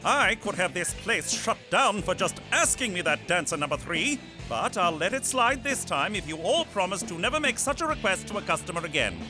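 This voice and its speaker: A man's voice: sits high, very animated, a little fast, over music. A man voicing a smug, lecherous federal agent who talks in sly asides.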